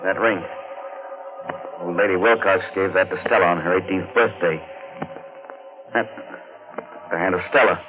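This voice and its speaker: Male actor as sinister chauffeur with deadly intent, deep insinuating voice, old thin radio sound with hiss. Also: fairly even intonation, moderate pace, long pauses, music in the background, close to an everyday voice. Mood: musing. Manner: smooth, insinuating, menacing. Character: menacing former chauffeur turned would-be killer, smooth and threatening. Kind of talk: 1940s radio mystery acting. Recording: narrow, tinny, noisy 1940s radio recording.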